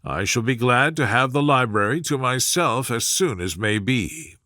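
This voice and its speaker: A man voicing a dry, sardonic older father from a classic novel, speaking in calm, deliberate deadpan. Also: medium pitch, no room sound. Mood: wry. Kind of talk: storybook character voice in classic novel reading.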